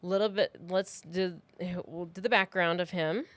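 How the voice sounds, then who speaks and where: Female podcast co-host, slightly low smooth voice, informal conversational storytelling, close dry sound. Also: steady tone, measured pace, a bit bright, dry recording. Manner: informal, loosely scripted, wry asides. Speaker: true crime podcast co-host.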